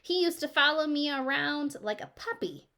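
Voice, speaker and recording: A woman's voice: high-pitched, lively intonation, moderate pace, sharp tone, pitched above the performer's own voice, full character voice. A woman voicing a mother in a children's storybook, easily exasperated and emphatic. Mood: fond.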